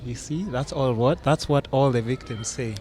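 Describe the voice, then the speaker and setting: A man's voice: mid-range voice, moderate pace, a crowd in the background. A man hosting a podcast in casual chat, a teasing, joking interviewer. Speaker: podcast host.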